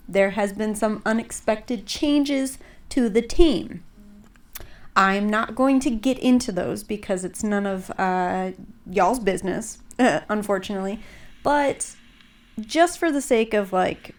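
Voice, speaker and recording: A young woman hosts a podcast in loose, casual chat, her voice a little stuffed up and congested. She rambles along with plenty of fillers and "like"s, at a middle pitch. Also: measured pace. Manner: chatty, rambling with many fillers and likes. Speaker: podcast host.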